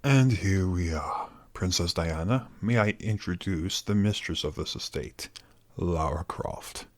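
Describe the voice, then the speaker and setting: A low, deep voice in a dry recording, that of a devoted older butler acted by a man. He is courteous and fatherly, with formal phrasing and gentle wit, in an audio roleplay. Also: measured pace, dry recording.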